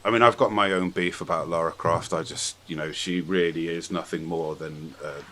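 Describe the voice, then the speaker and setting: A man hosting a casual podcast discussion about films, giving blunt opinions in halting, hesitant phrases. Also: sits low, steady tone, fairly bright tone. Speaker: podcast host.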